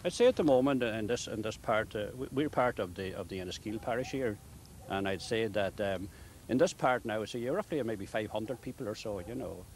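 An older Donegal man, whose first language is Irish, talks in a fairly low voice. He is chatting casually as a local interviewee, hesitating and repeating his phrases. There is faint outdoor noise around him. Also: brisk, darkish tone. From County Donegal, Ireland. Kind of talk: casual chat. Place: outdoors on a lakeside road.

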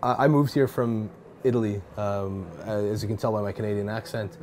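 A man in his thirties hosting a wine talk in a cellar, speaking with enthusiasm and quick restarts. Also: fairly low pitch, steady tone, darkish tone. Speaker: host. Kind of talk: casual chat.

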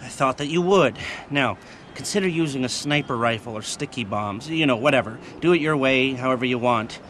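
Sharp, sarcastic middle-aged fixer played by a man. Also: mid-range voice, brisk, slight background noise, natural voice. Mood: businesslike. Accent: American. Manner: clipped, sarcastic, businesslike. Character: impatient scheming middle-aged fixer, clipped and scornful. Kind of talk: video game cutscene acting.

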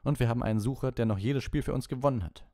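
A man's voice: medium pitch, dark tone, dry recording, voice pushed up, lightly acted. A man acts out a desperate, driven schoolboy sports captain from an audiobook. His voice is raised well up, pushing each phrase out with heavy emphasis in a very dry recording. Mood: fierce pride. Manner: emphatic, slow stressed phrases. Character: intense, desperate schoolboy team captain giving pep talk. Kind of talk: audiobook character voice.

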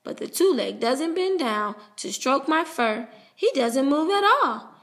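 A woman reading a children's story aloud in a playful, animated way, voicing the narration of a puppy. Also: sits high. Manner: playful, animated, with drawn-out sound words.

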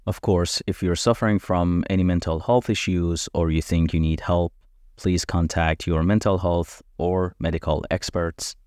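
Man, first language Farsi, very low voice, earnest and measured, dry close sound. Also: fairly even intonation, a little mellow, dry recording. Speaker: podcast host. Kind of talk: scripted podcast introduction.

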